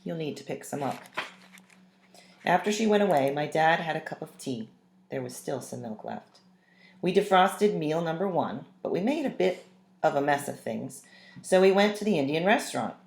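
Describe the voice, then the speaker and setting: A woman reading a children's story aloud with expressive, deliberate phrasing that leans on the dialogue. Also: deep voice. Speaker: read-aloud narrator. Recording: roomy, echoing room sound.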